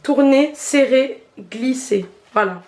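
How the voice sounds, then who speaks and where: A woman's voice: high voice, slow, very noisy. A woman with a stuffy head cold, giving a chatty, slangy hair tutorial to her viewers while she demonstrates. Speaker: beauty vlogger. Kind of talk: casual hair tutorial chat.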